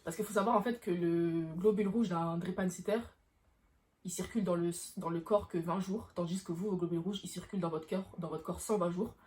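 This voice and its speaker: A woman's voice: fairly low pitch, fairly even intonation, fairly quick, a little mellow. A woman, chatting informally to camera and explaining things, often rephrasing herself as she goes. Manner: informal, frequent self-rephrasing. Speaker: vlogger answering questions. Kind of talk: casual explanatory chat to camera.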